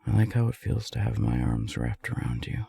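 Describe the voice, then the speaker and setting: A man playing a lovestruck, self-deprecating non-binary friend in an intimate romantic roleplay audio, speaking softly and haltingly in a breathy, husky voice. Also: fairly low pitch, sing-song, no room sound, full character voice. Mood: shy, happy. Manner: hesitant, halting, soft-spoken.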